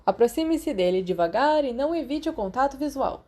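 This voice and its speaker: A man's voice: extremely high pitch, lively intonation, fairly quick, dark tone, pitched above the performer's own voice. A woman voicing a cheerful, encouraging male professor of magical creatures in an audiobook, enthusiastic and instructive, with a Brazilian accent.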